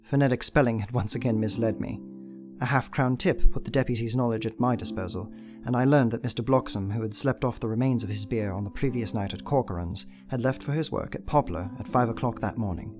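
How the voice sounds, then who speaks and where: An actor playing an earnest, determined young Victorian gentleman, narrating a journal in a formal, measured way in an audio drama. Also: mid-range voice, over music, close to an everyday voice.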